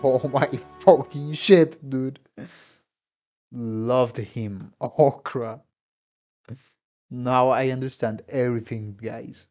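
A man's voice: medium pitch, fairly even intonation, takes it slowly, mellow, dull audio. A young man, a musician, giving casual, effusive reaction commentary full of slang and swearing in a room at home. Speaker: musician reacting to game trailers. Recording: game trailer music behind. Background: game trailer music.

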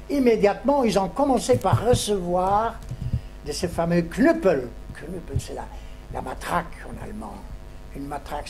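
An elderly man from Poland, a native Yiddish speaker, giving spoken testimony to a school audience in an emphatic, deliberate way. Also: on the high side, a little mellow. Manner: emphatic, deliberate storytelling with dramatic stresses. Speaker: Holocaust survivor giving testimony. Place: indoors, classroom. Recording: noisy room recording with hum.

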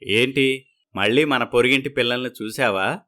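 A man plays an older father-in-law in a moral story. He is sad and resigned, speaking in a medium-pitched, gentle voice. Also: moderate pace, lightly acted. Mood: sorrowful.

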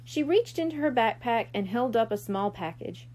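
A woman reading a children's story aloud in an expressive narration style. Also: fairly high pitch, moderate pace. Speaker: storybook reader.

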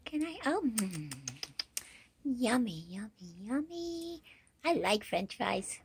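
A woman voicing a cheerful, childlike green dinosaur in pretend play, with a high, squeaky, sing-song voice, gasping, exclaiming and now and then dropping to a whisper. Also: very animated, slow, creaky, slight background noise, exaggerated voice. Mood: hungry, eager. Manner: gasping and exclaiming, asks questions, drops to whispers.

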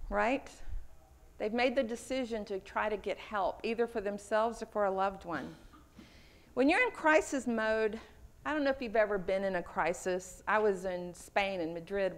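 A woman in her fifties from Houston with a Texan accent, giving a lightning talk at a conference and telling personal stories in an earnest way. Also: medium pitch. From Houston, Texas. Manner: earnest, personal storytelling with a few fillers. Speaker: conference speaker. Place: conference room.

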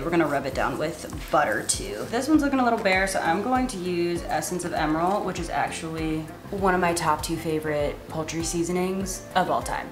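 A young adult woman chatting casually as a cooking vlogger, with a wry, self-deprecating manner. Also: sits low. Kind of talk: casual chat while cooking. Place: home kitchen, indoors.

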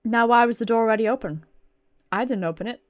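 A woman's voice: a little high, fast talker. A British woman, a streamer giving chatty, giggly commentary while playing a video game in a casual livestream.

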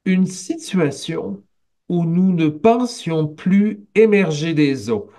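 Slow, measured Québécois preacher man. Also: a little high, expressive, mellow. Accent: Québécois French. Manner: slow, measured preaching with pauses and rising emphasis. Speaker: pastor. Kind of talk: sermon. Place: small office at home. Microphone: headset on a video call.

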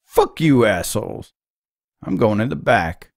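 A man playing a surly, demanding, foul-mouthed character in an audio drama, grumbling with a gravelly, husky voice. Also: on the low side, big pitch swings, a little slow, dry recording, studio quality. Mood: sulky, hostile.

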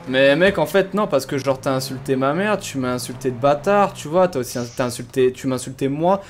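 A man's voice: medium pitch, a little fast. A man streaming an online roleplay game, chatting casually in slang and protesting by repeating himself. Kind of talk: casual gaming chat with other players. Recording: background game noise. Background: video game ambience.

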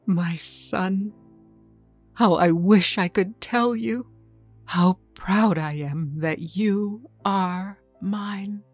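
A woman acting a slow, wistful mutant mother. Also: medium pitch, no room sound, soft background music. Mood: tender, sorrowful. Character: weary, secretive mutant mother, tender and wistful. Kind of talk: audio drama acting.

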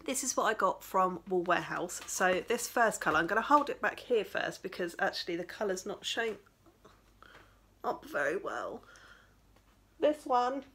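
A woman's voice: mid-range voice, big pitch swings, moderate pace, fairly bright tone, slight background noise. A woman, tired and chatty, showing off yarn from a small craft room at home. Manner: chatty, rambling, with many fillers. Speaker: crochet vlogger. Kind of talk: casual chat, showing yarn.

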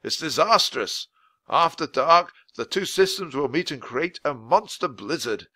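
A man doing a tall, thin, courteous gentleman with an old-fashioned, proper manner of speaking. The tone is bright and lively in a dry, close recording. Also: a little high, measured pace, no echo, voice pushed up, full character voice. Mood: formal. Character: tall thin older man, formal and polite.

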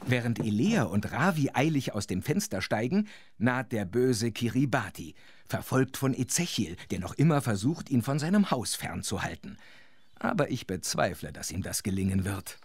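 An actor playing a wry, chatty storyteller who narrates a children's audio drama with playful asides. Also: male voice, medium pitch, very animated, normal speed, slightly rough, no room sound. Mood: wry, animated.

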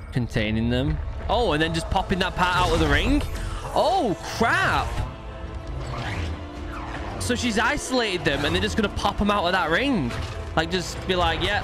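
A man in his thirties giving casual, excitable reaction commentary into a close microphone. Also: on the high side, unhurried, music in the background. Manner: hyped, exclamatory. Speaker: reaction video host. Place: indoor streaming setup. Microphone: close boom-arm microphone.

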